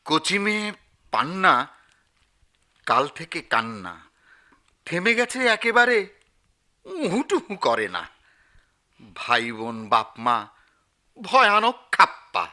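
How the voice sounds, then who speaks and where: Radio comedy: timid Bangladeshi editor, high-pitched, wildly animated, stammering, desperate to placate. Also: male voice, slow pace, long pauses, heavily acted. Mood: timid, hesitant. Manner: stammering, repeating himself, placating. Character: flustered, timid male newspaper editor, anxious to placate. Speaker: actor. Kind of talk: comic radio drama acting.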